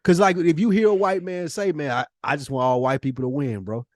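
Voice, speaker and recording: A man's voice: a little high, steady tone, brisk, dry recording, clean studio sound. A man from the United States talking as a podcast host in casual, opinionated chat, slangy and emphatic in his delivery.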